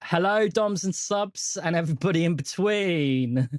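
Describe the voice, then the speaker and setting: A man's voice: sits high, expressive, a little slow, no room sound, clean studio sound. A man hosting a tabletop role-playing podcast in casual chat, with a jokey, teasing manner. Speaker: host and game master of a role-playing podcast. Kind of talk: casual chat introducing and recapping a game.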